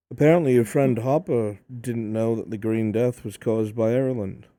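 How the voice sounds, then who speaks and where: A man roleplaying a stern, world-weary fantasy mentor, speaking in a dry, measured, matter-of-fact way. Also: medium pitch, a little mellow, dry recording, natural voice. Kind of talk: improvised in-character roleplay.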